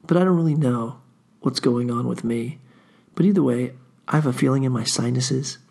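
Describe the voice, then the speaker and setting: A man's voice: mid-range voice, normal speed, creaky, darkish tone, slightly rough. A man hosting a solo podcast, under the weather with a stuffy runny nose, rambling casually through a profane personal story with lots of fillers. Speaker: podcast host.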